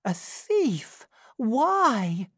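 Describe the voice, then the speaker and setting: A woman voicing a kind, gentle young lady who speaks softly in a storybook reading. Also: fairly high pitch, big pitch swings, extremely slow, slightly rough, no echo, clean studio sound, pitched above the performer's own voice, exaggerated voice. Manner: soft and gentle.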